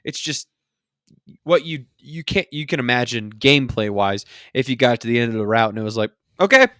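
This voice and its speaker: A man's voice: mid-range voice, animated, fairly quick, bright, forceful tone, dry recording, clean studio sound. A man co-hosting a casual gaming podcast, explaining his opinions in an informal way. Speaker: podcast co-host. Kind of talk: casual two-person podcast discussion of a mobile game.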